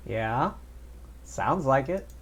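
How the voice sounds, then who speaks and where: A man's voice: medium pitch, lively intonation, drawn-out words. A man streaming a game, reading lines and making dry, wry remarks. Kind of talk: casual game commentary.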